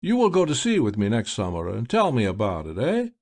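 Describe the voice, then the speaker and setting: A man's voice: medium pitch, wildly swooping pitch, fairly quick, darkish tone. A man voicing a sly, flamboyant blind male stage magician with grand, theatrical exclamations. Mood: wistful.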